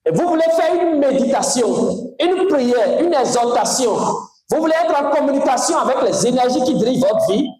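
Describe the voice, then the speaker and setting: A man from Togo teaching a spiritual class in an animated, emphatic way, often putting questions to his listeners. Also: extremely high pitch. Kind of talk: lecture to a class. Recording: very echoey room.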